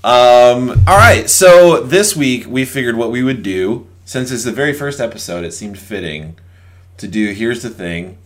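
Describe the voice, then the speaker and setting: A man co-hosting a podcast in casual chat, his voice lower than usual after a late night, making dry joking asides. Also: animated, unhurried. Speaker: podcast co-host.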